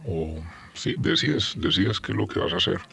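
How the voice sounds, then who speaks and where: Colombian guy with a super deep voice, flat matter-of-fact answers. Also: normal speed, vocal fry. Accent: Colombian Spanish. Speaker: interviewee. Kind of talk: interview answers.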